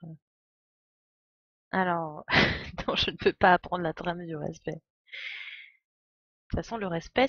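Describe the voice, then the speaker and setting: A woman's voice: mid-range voice, no room sound. A woman giving casual, joking, irreverent live commentary as a game streamer. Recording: dull, lo-fi microphone sound.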